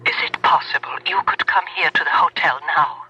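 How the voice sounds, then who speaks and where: An actress voicing a frightened ballerina, heard through a telephone filter in a radio drama. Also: female voice, deep voice, fairly quick, pushed and bright, dull audio. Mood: terrified. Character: frightened ballerina phoning for help. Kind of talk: 1970s radio drama acting. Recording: noisy, thin telephone effect on old radio.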